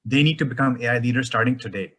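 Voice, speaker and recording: A man from Canada, a master's student, forcefully delivering a prepared statement. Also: medium pitch, fast talker.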